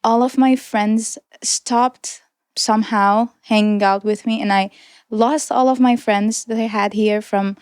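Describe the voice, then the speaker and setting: Earnest young woman, smooth clear voice. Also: a little high, steady tone, measured pace, darkish tone, no echo, clean studio sound. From Iran. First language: Persian. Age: 20s. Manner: reflective, earnest, with small restarts and light laughs. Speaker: interview guest. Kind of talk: personal testimony in conversation.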